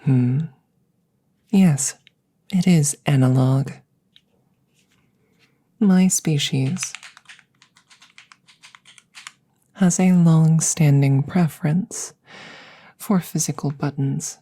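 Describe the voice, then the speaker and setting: A woman voicing a formal, curious alien examiner in an ASMR roleplay, soft and breathy, often whispering, with precise clinical phrasing. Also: sits low, slow pace, long pauses. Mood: matter-of-fact, curious. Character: formal, curious female alien examiner interviewing a rescued creature.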